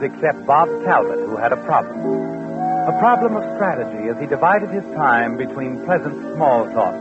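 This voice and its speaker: An actor playing a mysterious, all-knowing, sardonic narrator of a 1940s radio mystery, insinuating, on a tinny old recording. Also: male voice, medium pitch, natural voice. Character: mysterious, omniscient, sardonic male night-walking narrator. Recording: tinny vintage radio broadcast with music.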